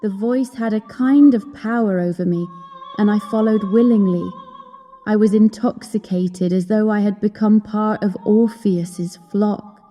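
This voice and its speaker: A woman playing a wistful young opera singer who recounts her story in an audio drama, in measured, earnest, literary phrasing. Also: medium pitch, fairly even intonation, dark tone, natural voice. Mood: entranced, wistful. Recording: long hall reverb, music behind.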